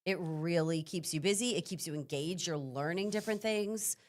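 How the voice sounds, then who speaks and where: A woman co-hosting a morning TV show, chatting casually with a partner. Her voice sits a little low, and she tells animated stories and laughs often. She is recorded clean on a studio lapel mic. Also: normal speed. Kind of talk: casual chat.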